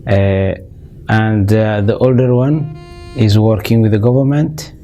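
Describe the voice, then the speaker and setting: Somali-born man in his 50s, mellow tone, measured interview answers with fillers, faint guitar behind. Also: on the low side, highs cut off. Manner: measured, with frequent uh fillers and restarts. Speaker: interview guest, business owner.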